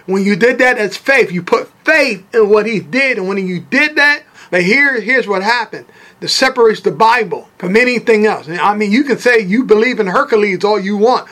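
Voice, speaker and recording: A man's voice: sits high, normal speed, bright, forceful tone. A man preaching fervently on his podcast, repeating phrases as he builds his points. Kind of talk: sermon.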